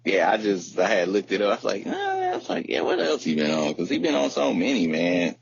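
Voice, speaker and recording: Low-voiced man in slangy music chat. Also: very animated, fairly quick, gravelly. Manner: informal, slangy, blunt. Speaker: podcast host. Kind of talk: casual music discussion. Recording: muffled podcast audio with light hum.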